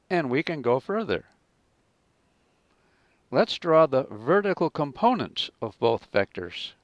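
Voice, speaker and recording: A man narrating a scripted physics lesson as a teacher, in a measured, explanatory manner. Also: mid-range voice, dry recording, muffled recording.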